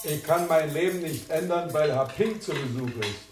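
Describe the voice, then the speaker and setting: An actor in a children's radio play playing a grumpy older bachelor, curt, gruff and dryly amused. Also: male voice, on the high side, normal speed, music in the background, lightly acted. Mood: stern. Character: grumpy older bachelor, set in his ways.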